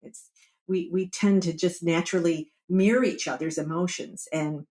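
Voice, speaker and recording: A woman's voice: fairly low pitch, steady tone, normal speed, darkish tone. Woman coach giving conversational advice. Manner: explanatory, acts out example quotes. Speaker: wellbeing coach, podcast guest.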